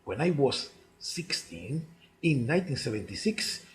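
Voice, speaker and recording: A man in his sixties from Cuba, a native Spanish speaker with a Cuban accent, telling his life story in deliberate, halting non-native English. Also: medium pitch, sing-song, a little hiss or hum. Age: 60s. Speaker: chess player narrating own life story. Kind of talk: autobiographical monologue.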